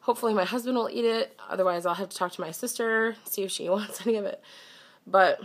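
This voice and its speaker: A woman's voice: mid-range voice, quick pace. A young woman talking candidly to her camera in a casual vlog, congested and a little phlegmy, chatty with a slightly defensive edge. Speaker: vlogger.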